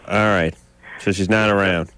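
A man's voice: deep voice, fairly even intonation, normal speed, bright, forceful tone, no room sound, low-quality recording. A middle-aged man hosting a radio call-in show, riffing sarcastically with callers and co-hosts on a studio mic. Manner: sarcastic, riffing with comic tangents. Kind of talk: casual radio banter with callers. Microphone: studio microphone.

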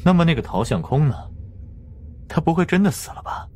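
A man's voice: a little high, very animated, a little fast, darkish tone, a little hiss or hum, voice pushed up. A man playing a cold, menacing fighter in an audio story, mocking and commanding as he interrogates.

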